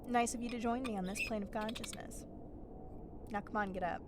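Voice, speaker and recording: A woman's voice: a little high, fairly quick, natural voice. A woman playing a sarcastic, impatient wasteland survivor in an audio roleplay, talking straight to the listener in a wry, exasperated, brusque manner. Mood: teasing impatience. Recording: steady ambient noise behind the voice. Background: howling wind sound effects.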